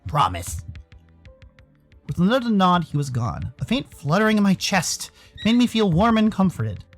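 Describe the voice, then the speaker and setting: American man, lively intonation, theatrical storytelling, visual novel narration, music underneath. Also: high-pitched, normal speed. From Arizona, United States. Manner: theatrical, expressive. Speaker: streamer, voice performer.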